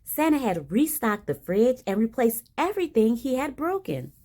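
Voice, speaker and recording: A woman reading a children's picture book aloud in an expressive style with dramatic emphasis. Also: fairly high pitch, moderate pace, a little hiss or hum. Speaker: storybook reader. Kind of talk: animated children's read-aloud.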